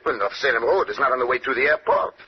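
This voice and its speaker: An actor playing a polite, self-assured foreign detective with a French accent and formal, courtly phrasing in a radio drama. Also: male voice, mid-range voice, quick pace, lightly acted. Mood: urgent, assured. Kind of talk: vintage radio drama acting. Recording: dull, noisy old radio broadcast.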